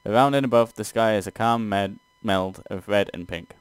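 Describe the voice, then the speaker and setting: A man's voice: on the low side, normal speed, clear, resonant tone, dry recording. A man doing a let's play, reading a text game aloud and reacting casually.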